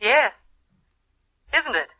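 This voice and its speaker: A woman's voice: on the high side, sing-song, extremely slow, no room sound, heavily acted. A woman playing a sad, reluctant wife, subdued and wounded, through a telephone filter in a 1940s radio drama. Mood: resigned, doubtful. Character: sad, reluctant wife being used by her schemer. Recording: dry, dull old radio phone line.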